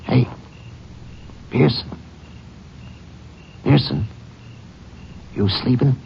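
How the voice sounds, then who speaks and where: An American actor playing a surly, suspicious crooked cowhand in a 1940s radio drama, talking in curt cowboy slang. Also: male voice, mid-range voice, drawn-out words, vocal fry. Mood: wheedling, defensive. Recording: dull, noisy old radio recording.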